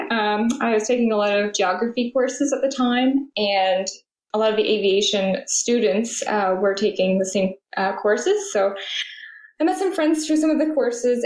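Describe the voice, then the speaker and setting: A woman's voice: a little high, darkish tone. A young woman from Atlantic Canada, a flight attendant, answering interview questions in a chatty way with many ums. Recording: roomy echo.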